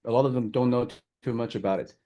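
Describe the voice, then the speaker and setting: A man's voice: medium pitch, fast talker, lo-fi sound. A Chinese man speaking as a guest on a video call, friendly and hesitant, often restarting his sentences. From China. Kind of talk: casual remarks on a video call.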